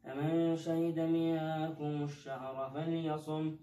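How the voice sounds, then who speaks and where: A young man, reciting Quran in a melodic chant and talking quickly on the phone in a living room. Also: fairly high pitch, little pitch movement, dark tone. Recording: echoey, distant, muffled camera audio.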